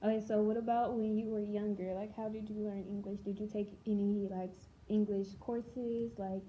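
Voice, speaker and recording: A woman's voice: mid-range voice, little pitch movement, normal speed, highs cut off, slight background noise. A young adult woman, a teacher, interviewing her student and prompting her with follow-up questions. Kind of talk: casual interview.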